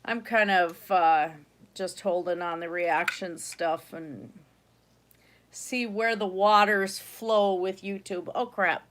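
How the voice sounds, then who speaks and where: A young woman speaks slowly into a desk mic at home, her voice stuffy from a slight cold. She rambles in a loose, chatty way as the host of her own livestream. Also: on the low side, a bit bright. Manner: rambling, chatty, laughs often. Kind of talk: casual livestream chat.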